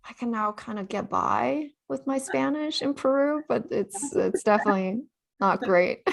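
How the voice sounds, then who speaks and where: Animated woman with a gravelly edge. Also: fairly high pitch, unhurried. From China. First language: Chinese. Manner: animated, frequent "you know" fillers. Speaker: author guest. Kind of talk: casual storytelling interview.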